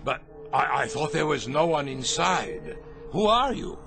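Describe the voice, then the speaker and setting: An actor playing a stammering, cruel former camp captain in a radio drama. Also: male voice, on the high side, expressive, a little slow, gravelly. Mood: nervous. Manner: stammering, mocking laughs, then fearful. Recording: radio play with music behind.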